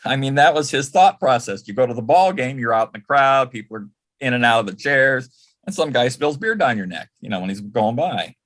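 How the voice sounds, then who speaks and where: A man's voice: medium pitch, expressive, brisk, fairly bright tone. A man telling anecdotes as an interview guest in a casual, conversational way, often quoting dialogue as he reminisces. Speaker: interview guest, former personal assistant to an entertainer.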